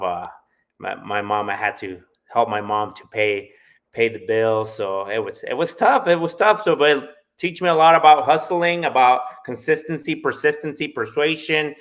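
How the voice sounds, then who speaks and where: A man's voice: fairly low pitch, moderate pace, creaky, fairly bright tone. A man with Spanish as his first language and a Spanish accent, talking casually and emphatically as a sales entrepreneur on a live podcast.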